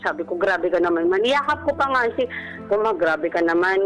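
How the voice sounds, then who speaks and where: A woman's voice: lowish voice, lively intonation, normal speed, a bit bright. A woman in her thirties telling a personal story conversationally and respectfully as a caller on a radio show. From Philippines. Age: 30s. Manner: respectful, frequent polite particles. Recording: radio broadcast with music bed. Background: music.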